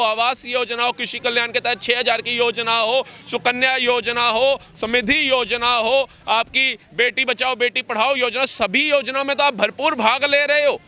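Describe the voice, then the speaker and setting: A man's voice: extremely high pitch, bright, forceful tone, clean tone. A man arguing combatively and emphatically in a street interview, firing rhetorical questions. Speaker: interviewee giving political opinions. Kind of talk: impassioned street interview argument.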